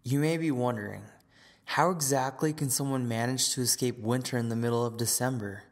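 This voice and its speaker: A man's voice: mid-range voice, fairly quick. A man narrating a scripted story as the narrator of an audio drama.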